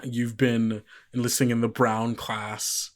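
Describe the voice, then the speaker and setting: Male game master for actual-play horror podcast. Conversational, improvised narration, average pitch, often asks questions. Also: flat delivery, slow pace.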